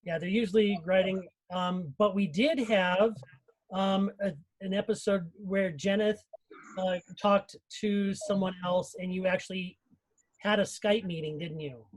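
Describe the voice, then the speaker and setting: A man with a notably high speaking voice talks casually in an online panel. He sounds like an audio producer chatting with his cast. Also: fairly even intonation, measured pace, rough recording. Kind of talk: casual panel chat on a live stream.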